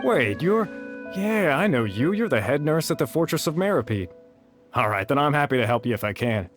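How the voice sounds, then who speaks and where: An actor playing a principled researcher who speaks in a dry, matter-of-fact way. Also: male voice, on the high side, big pitch swings, normal speed. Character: principled, aloof male researcher. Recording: game dialogue over music.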